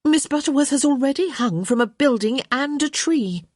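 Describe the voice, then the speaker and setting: A woman as a pert young society lady, higher-pitched. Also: expressive, darkish tone, no room sound, clean studio sound. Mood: pert, unrepentant. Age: young adult. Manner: pert, quick to answer back. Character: witty, unrepentant, politely cheeky young lady.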